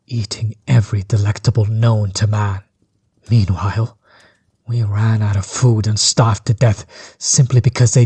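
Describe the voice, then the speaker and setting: A man playing a smug, bitter villain overlord in a roleplay audio drama, mocking and condescending, with a husky, breathy voice. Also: on the low side, warm tone, no echo. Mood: bitter, defiant. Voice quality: husky, breathy, often dropping toward a whisper. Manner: mocking, condescending, drawn-out theatrical delivery. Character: smug, bitter male villain overlord, grudge against old companion. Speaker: voice actor.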